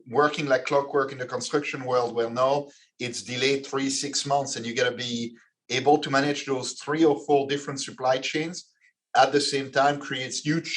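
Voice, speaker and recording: Belgian-born man in his forties. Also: medium pitch. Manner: measured, with frequent restarts and fillers. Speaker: guest, finance executive. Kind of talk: podcast interview answers.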